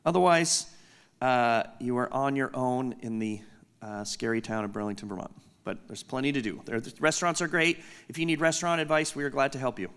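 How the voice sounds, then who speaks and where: A man with a loud voice giving an unscripted conference keynote from a stage, candid and self-deprecating with joking asides. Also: mid-range voice, brisk, clear, resonant tone. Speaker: company executive giving a keynote.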